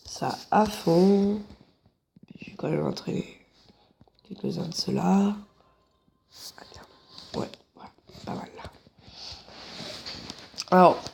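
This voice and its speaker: Slow, nasal guy with a cold. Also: high-pitched, fairly even intonation, vocal fry, darkish tone, in a whisper. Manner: chatty, jokey asides to viewers. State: has a head cold, stuffy. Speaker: gameplay commentator. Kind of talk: casual let's-play commentary. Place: at home on a couch.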